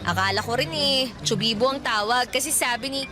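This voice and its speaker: Actress as a high-voiced, bashful young Filipina. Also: female voice, fairly quick, over music, natural voice. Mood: exasperated, evasive. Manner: mildly scolding, bashful. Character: shy, sensible young woman, fondly exasperated by teasing. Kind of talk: Tagalog radio drama acting.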